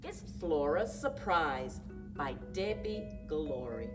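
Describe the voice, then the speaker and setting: A woman reading a picture book aloud to young children as a story time librarian, expressive and deliberate, addressing them directly. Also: sits low. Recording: distant microphone, music mixed in.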